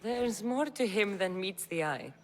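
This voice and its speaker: A woman's voice: mid-range voice, animated, unhurried, soft background music, natural voice. An actress playing a tough, defiant young female mercenary in a video game, blunt and coolly confident. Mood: businesslike. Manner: cool, blunt, dryly confident. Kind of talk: video game cutscene acting.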